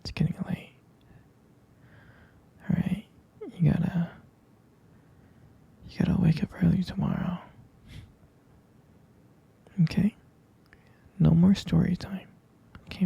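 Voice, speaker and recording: A man playing a doting, gently teasing boyfriend in an ASMR roleplay, murmuring tenderly and hesitantly in a breathy, gravelly whisper. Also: high-pitched, lo-fi sound, full character voice. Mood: gentle, caring. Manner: slow, tender, hesitant murmuring with pet names.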